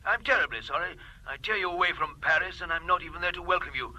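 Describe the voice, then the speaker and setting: A man's voice: high-pitched, animated, quick pace, pushed and bright, heavily acted, rough recording. An actor playing an obliging, fussily polite man on the telephone in an audio drama, apologising and over-explaining. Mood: apologetic. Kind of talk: radio drama telephone dialogue.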